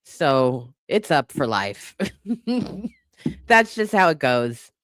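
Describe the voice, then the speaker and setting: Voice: mid-range voice, very animated, drawn-out words, fairly bright tone, no echo. A livestream host chatting casually and off the cuff with viewers, opinionated and sassy, in colloquial language. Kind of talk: casual unscripted chat, reacting to comments.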